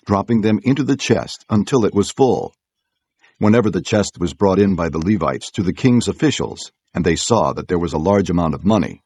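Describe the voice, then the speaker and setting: A man reads scripture as a narrator, in a low, husky voice. The delivery is formal and measured, and the sound is dry and close. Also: darkish tone, no echo.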